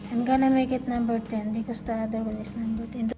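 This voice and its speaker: High-voiced Filipino woman, casual demo talk. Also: level tone, fast talker, over music. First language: Filipino (Tagalog). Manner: talks through each step in short fragments. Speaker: vlogger demonstrating products. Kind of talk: casual unboxing and testing demonstration.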